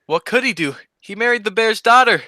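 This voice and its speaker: A woman's voice: fairly high pitch, animated, a little fast, pushed and bright, a little hiss or hum. A woman playing a dim but cheeky male sidekick in an old-time radio comedy, delivering wisecracks with childlike innocence. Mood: innocent.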